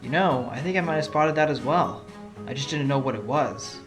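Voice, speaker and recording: A man's voice: medium pitch, animated, fairly quick, music in the background, natural voice. A man playing a confident fox defence lawyer with an assertive, probing courtroom manner in an audio drama. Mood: thoughtful.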